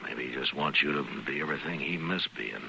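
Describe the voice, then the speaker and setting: A calm, weary American lawman of the Old West, speaks extremely low with a gravelly edge. He is laconic and dryly authoritative, in a noisy old radio western recording. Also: full character voice. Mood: gentle, reflective. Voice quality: deep, gravelly. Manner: laconic, measured, dry.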